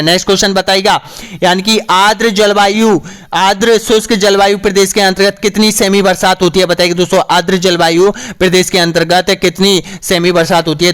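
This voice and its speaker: A man teaches an online exam-prep lesson, and his voice is a little hoarse. He speaks in a high, clear, smooth tone. He asks each question, then answers it himself, with a constant "friends, here" as a filler. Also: fairly bright tone. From Rajasthan, India. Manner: repeats "dosto yahan par", asks then answers. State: slightly hoarse.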